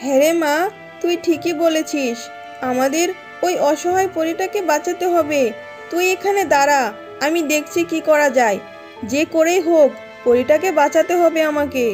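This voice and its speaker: A caring mother fox, voiced by a woman for a cartoon, high in pitch and earnest. Soft music plays behind. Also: normal speed, lightly acted. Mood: determined. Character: devout, caring mother fox, steadfast and protective. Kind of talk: children's cartoon voice acting.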